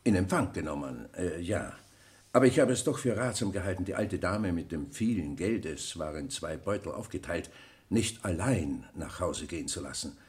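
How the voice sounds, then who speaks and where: Fussy banker, lowish and very animated. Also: male voice, normal speed. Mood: precise, formal. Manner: formal, fussy, easily affronted. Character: fussy, self-important, hurried male banker called as witness. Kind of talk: German radio play acting.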